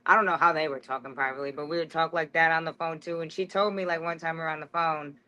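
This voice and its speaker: Bright, high-energy young woman recounting stories. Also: fairly even intonation, quick pace, highs cut off. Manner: rambling, slangy, frequent "like". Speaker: interview guest, former prison inmate. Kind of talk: candid conversational storytelling.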